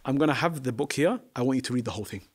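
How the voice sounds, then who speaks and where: Quick emphatic guy on a podcast, pushing his point hard, lots of rhetorical questions. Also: mid-range voice, animated. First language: not Arabic. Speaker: podcast guest, Quran teacher. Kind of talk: podcast conversation.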